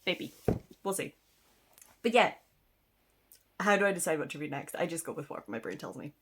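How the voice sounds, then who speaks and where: A woman rambles casually at home, sniffling through a mild cold. Her voice sits fairly low, and she chats in a self-deprecating way, trailing off into ums and little laughs. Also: expressive, moderate pace, vocal fry, background noise. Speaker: book vlogger. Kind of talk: casual rambling chat to camera. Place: indoors at home.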